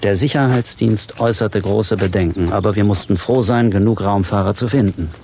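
An actor playing a grave professor who runs space missions in a radio drama, mellow, measured and formal. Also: male voice, fairly low pitch, noisy background, natural voice. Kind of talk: German radio drama acting.

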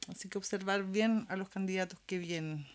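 A woman's voice: medium pitch, fairly even intonation, a little fast. A woman with a Chilean accent co-hosting a casual political discussion, speaking colloquially and repeating words for emphasis. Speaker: podcast co-host.

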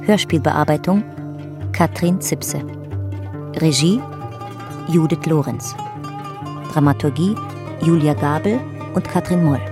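A woman announcer reading scripted titles and credits for a radio drama in a measured, factual way. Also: low voice, music in the background.

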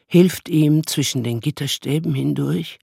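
An actress narrating a German radio play as a lyrical, measured storyteller. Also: female voice, sits low, creaky, dark tone, husky, no echo, clean studio sound, heavily acted. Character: lyrical storyteller of a girl's dreams.

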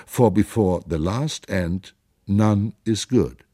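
A man's voice: lowish voice, dark tone, no echo, clean studio sound. A man doing a ragged, pleading wanderer. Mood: pleading, grandiloquent. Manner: slow, drawn-out, babbling in foreign tongues. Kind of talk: audiobook reading.

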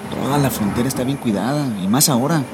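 An actor playing an anxious, fatalistic Mexican migrant in a radio drama, with colloquial, brooding speech and a Mexican accent. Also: male voice, medium pitch, fast, natural voice. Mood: fearful, brooding. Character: anxious, fatalistic Mexican migrant man waiting to cross the border. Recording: noisy recording with vehicle-like ambience.

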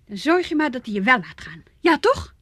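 A woman's voice: high-pitched, sing-song, brisk, fairly bright tone, heavily acted. An actress playing a determined, domineering woman in a radio play, insistent and teasing with her lover.

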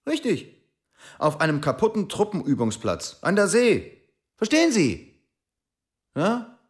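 A man's voice: high voice, wildly swooping pitch, unhurried, exaggerated voice. An actor playing a defensive, evasive man in a radio drama, brusque and insistent in his denials.

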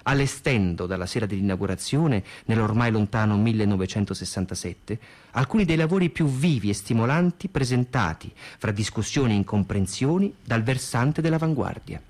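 A man's voice: fairly low pitch, expressive, moderate pace, a little mellow. A man reading scripted theatrical narration and reviews.

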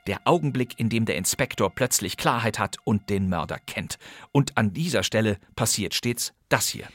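A man's voice: lowish voice, expressive, normal speed, fairly bright tone, no room sound. A man presenting a scripted but conversational introduction as a podcast host, playfully addressing his listeners directly.

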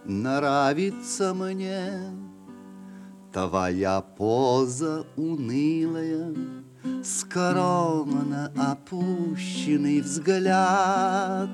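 A young volunteer soldier in a radio drama, tipsy and polite. His voice is fairly high and takes its time, with deferential, gentle protest, and a guitar plays along. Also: very slow. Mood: wistful. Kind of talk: theatrical radio play of classic prose. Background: acoustic guitar music.

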